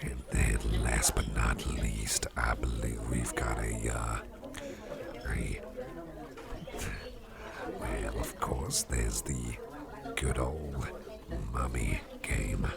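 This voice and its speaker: A man playing a friendly, rambling boss in an ASMR roleplay, chatting personally to the listener in a deep, gravelly voice. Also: steady tone, over a noisy crowd, heavily acted. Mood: chummy. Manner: rambling, chummy, halting, repeats phrases. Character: friendly, rambling male boss at a Halloween party. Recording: music playing behind the voice.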